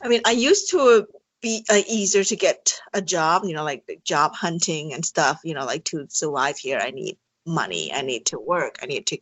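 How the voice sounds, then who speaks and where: Halting Thai-accented woman, low and dry. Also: expressive, fairly bright tone, husky, no echo. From Thailand. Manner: hesitant, halting with many fillers and restarts; laughs often between phrases. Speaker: podcast guest. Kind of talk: casual interview conversation.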